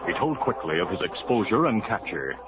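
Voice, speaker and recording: A man giving scripted, measured narration for a 1950s radio drama. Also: fairly low pitch, sing-song. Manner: measured storyteller, building to urgency in action scenes. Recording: muffled 1950s radio recording with loud hiss.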